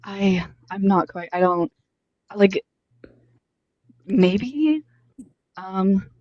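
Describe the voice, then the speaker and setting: A woman's voice: mid-range voice, lively intonation, drawn-out words, no echo, muffled recording. A woman in her twenties doing a casual street interview, chatty and friendly with lots of fillers. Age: 20s. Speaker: host interviewing strangers.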